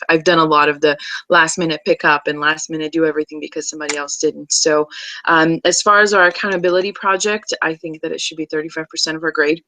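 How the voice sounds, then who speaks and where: A woman's voice: low-pitched, fairly bright tone, no echo, low-quality recording. A woman in her thirties, originally from Brazil, chatting casually as she introduces herself to a class, with many ums and restarts. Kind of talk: casual self-introduction video.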